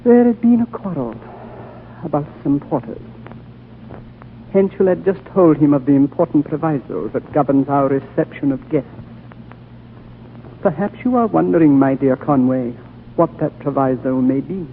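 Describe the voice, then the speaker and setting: An actor playing an ancient, gentle and wise High Lama in a 1940s radio drama, speaking in a measured, solemn way. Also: male voice, natural voice. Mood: gentle, knowing. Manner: measured, solemn, deliberate storytelling. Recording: tinny, noisy old 1940s radio recording.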